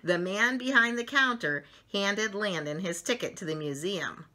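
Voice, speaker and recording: A middle-aged woman reading a story aloud for children, expressively and with drawn-out emphasis on words. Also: mid-range voice, lively intonation, sharp tone. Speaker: children's story channel host reading picture books aloud. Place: small furnished room at home, indoors.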